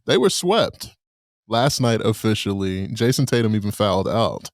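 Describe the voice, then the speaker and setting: A young man hosts a loose sports chat with a lively, swinging voice. He jokes around with his friends. The sound is dry and close, with no room behind him. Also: on the low side, moderate pace, no echo. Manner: animated, joking. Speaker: podcast host.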